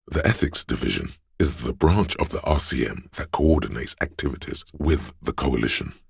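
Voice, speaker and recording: A man voicing an erudite, composed know-it-all inner voice in a video game, delivering measured, precise, matter-of-fact exposition. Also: no room sound, studio quality, exaggerated voice. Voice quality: very deep, gravelly, husky. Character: encyclopedic inner voice, a learned, composed male know-it-all narrator. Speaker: game voice actor. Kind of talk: scripted game narration, expository.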